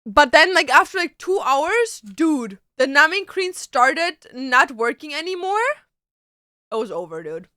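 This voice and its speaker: A woman's voice: sits high, very animated, a little slow, bright, forceful tone, no echo, clean studio sound. A young woman, a tattoo artist, chatting casually with her stream viewers and answering their questions in a loose, talkative way. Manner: chatty, loose, peppered with "like" and "dude".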